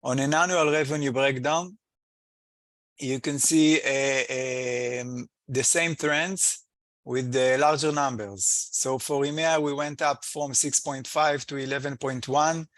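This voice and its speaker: A man delivers a prepared financial presentation in a mid-range voice with a dry, close sound. His delivery is halting and hesitant, with slightly non-native phrasing, as he works through figures like a company executive. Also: medium pitch, dry recording. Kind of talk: prepared earnings call presentation.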